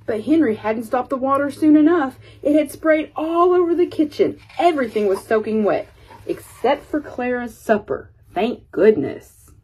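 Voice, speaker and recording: A woman reading a children's picture book aloud as an expressive storyteller. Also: sits high, normal speed, a little hiss or hum, poor audio.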